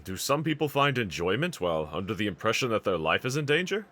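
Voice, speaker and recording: A man performs a bold anime swordsman who slays demons, earnest and reassuring at heart. His voice is bright and very animated in pitch. He speaks in formal, courteous sentences, as if in an audio roleplay addressed to a trusted companion. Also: quick pace. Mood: curious, puzzled.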